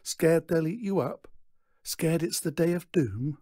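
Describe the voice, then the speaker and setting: A man's voice: on the high side, measured pace, warm tone, dry recording, clean studio sound, pitched above the performer's own voice. A man voicing a seasoned showman in a storybook, a reassuring, emphatic mentor. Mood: teasing, probing.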